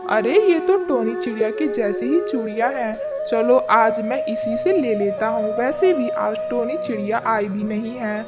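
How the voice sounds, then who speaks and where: A woman's voice: fairly high pitch, a little slow, few pauses, voice pushed down, lightly acted. A woman voicing an inquisitive, chatty male parrot in a children's cartoon. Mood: curious, chatty. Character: friendly, inquisitive male parrot, shrewd helper. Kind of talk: scripted children's cartoon story. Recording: music behind the voice.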